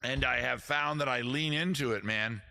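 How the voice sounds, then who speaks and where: A man with a raspy voice hosting a casual livestream show, chatting quickly and loosely with viewers in the chat. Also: medium pitch, sharp tone, a little hiss or hum. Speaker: livestream music show host.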